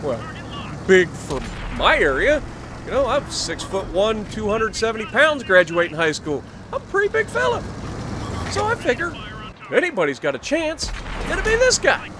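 An American man in his thirties tells a casual story with plenty of joking exclamation. His voice sits high and swings widely up and down. Clear game and engine noise runs behind him. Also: normal speed. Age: 30s. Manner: animated, jokey, exclamatory. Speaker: gaming video creator. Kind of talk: casual storytelling over gameplay.

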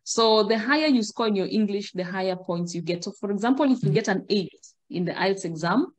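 A middle-aged Kenyan woman on a video call, explaining in a measured way and gesturing as she talks. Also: mid-range voice. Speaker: guest, migration agent. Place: indoor room.

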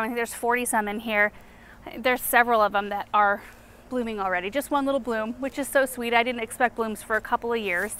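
A woman's voice: fairly high pitch, fairly bright tone, smooth. A woman in her thirties hosting a casual walking garden tour outdoors, chatty and enthusiastic as she points out plants. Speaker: garden show host.

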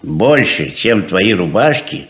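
A man's voice: on the low side, sing-song, takes it slowly, full character voice. An actor playing a frank, persuasive, hospitable father of grown children in a 1970s radio play, earnest and plain-spoken. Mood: frank, reproachful.

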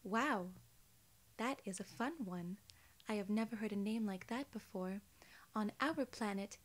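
A young woman playing a polite, curious alien gatekeeper in an ASMR roleplay, soft-spoken and partly whispering, with formal, quaint wording. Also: a little high. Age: young adult.

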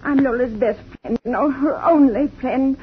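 A woman's voice: high-pitched, normal speed, close to an everyday voice. A woman playing a fluttery, tearful spinster. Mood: distraught. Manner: tearful, fussy, flustered. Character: fluttery distraught older spinster friend. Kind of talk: 1950s radio drama acting. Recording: dull lo-fi 1950s radio broadcast.

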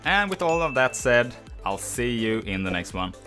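Swedish-accented male gaming host, relaxed guide voice-over, mid-range pitch, faint music bed. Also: moderate pace. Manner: relaxed, explanatory, slightly non-native phrasing.

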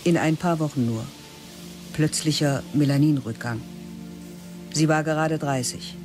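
A narrator speaks in clipped, matter-of-fact sentences at an ordinary middle pitch. Faint music runs underneath. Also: measured pace. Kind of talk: scripted narration.

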